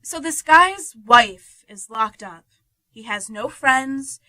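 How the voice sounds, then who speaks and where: A woman's voice: high-pitched, expressive, slow, bright, forceful tone, dry recording, full character voice, poor audio. A woman playing Helen, an earnest, dramatic young writer who admires her own words. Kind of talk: amateur radio play.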